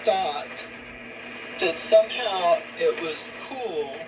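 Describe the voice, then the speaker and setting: A woman's voice: fairly low pitch, expressive, takes it slowly. A woman giving religious talk radio commentary, heard through a shortwave radio. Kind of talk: talk radio commentary with scripture and article reading. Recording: dull, very noisy shortwave radio broadcast.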